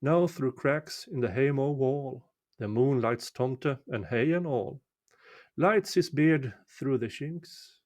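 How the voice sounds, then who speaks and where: A man recites a folk poem in a storytelling style. His voice sits at an average pitch. He takes his time, placing each short phrase deliberately with measured pauses. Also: a little mellow. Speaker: amateur reader of a poem.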